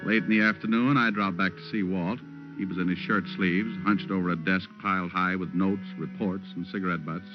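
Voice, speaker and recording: A man playing a hard-boiled American private eye in an old radio crime drama. His voice sits low and he tells his case with dry, deadpan wit. The recording is dull, with music clearly behind him. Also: brisk, close to an everyday voice. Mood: wry, narrating. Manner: wry, deadpan wisecracks. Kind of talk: 1940s-50s radio detective drama acting.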